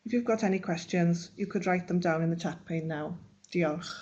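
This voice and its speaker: A woman teacher reading out a webinar presentation in a measured, instructional way. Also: fairly low pitch, a little mellow, muffled recording. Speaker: Welsh language teacher. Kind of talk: read-aloud webinar presentation.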